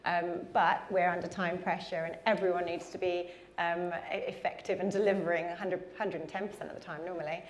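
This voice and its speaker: A woman's voice: lowish voice, flat delivery, a little fast, few pauses, a little hiss or hum. A woman answering questions on a conference panel, chatty with frequent ums. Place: conference hall.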